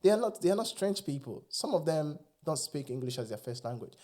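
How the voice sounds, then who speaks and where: Earnest nigerian man, warm mellow voice. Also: a little high, brisk. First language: Igbo. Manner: earnest and animated, emphatic. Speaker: podcast guest. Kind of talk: casual chat.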